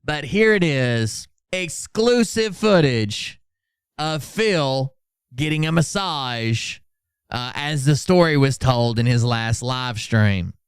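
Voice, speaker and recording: Male livestream host: mid-pitched, clear voice, casual running commentary, sardonic and sweary. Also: lively intonation, slow, dry recording, clean studio sound. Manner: sarcastic, blunt, profane.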